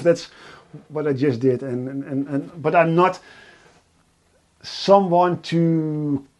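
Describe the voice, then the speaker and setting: A Dutch man with a Dutch accent, hosting a casual live stream from his workshop and talking in a halting way with many fillers and restarts. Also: fairly high pitch, mellow. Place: home workshop.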